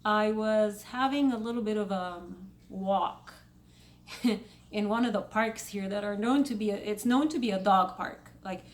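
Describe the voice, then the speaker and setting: A young woman from Saudi Arabia with an Egyptian accent, talking to her viewers in a casual, emphatic commentary. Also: measured pace. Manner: emphatic, spikes in pitch when making a point. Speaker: vlogger.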